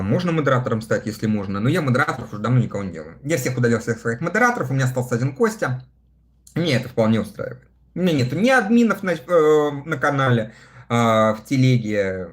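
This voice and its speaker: A man in his thirties hosts a relaxed livestream, reading viewer messages aloud and answering them in a chatty way. His pitch sits in a middle register but swings widely and expressively, and he laughs often between comments. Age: 30s. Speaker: live streamer reading viewer chat. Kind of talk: casual livestream chat.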